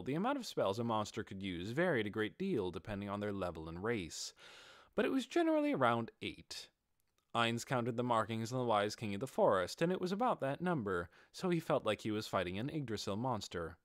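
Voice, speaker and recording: A man's voice: medium pitch, no room sound, clean studio sound. A man narrating a fantasy audiobook in a dramatic, scripted style.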